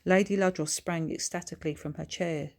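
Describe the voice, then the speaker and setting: Lowish-voiced woman reading a storybook. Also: fairly even intonation, fairly quick. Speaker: children's author reading own book. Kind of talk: scripted storybook narration.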